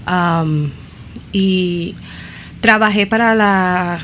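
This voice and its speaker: A woman's voice: fairly low pitch, extremely slow, fairly bright tone, slight background noise. A woman born in Puerto Rico, a police officer, answering interview questions hesitantly with repeated words and some English terms.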